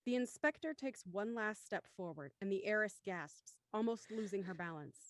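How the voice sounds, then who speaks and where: A woman reading scripted narration for a radio mystery in a deliberate, dramatic way. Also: medium pitch, lively intonation, dry recording, studio quality.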